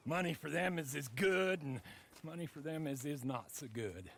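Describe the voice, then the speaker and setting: An actor playing an eccentric, rambling old American cowboy in a video game, folksy in manner. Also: male voice, a little high, moderate pace, creaky, a bit bright. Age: older. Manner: rambling, folksy, talks of himself by name. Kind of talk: video game cutscene acting.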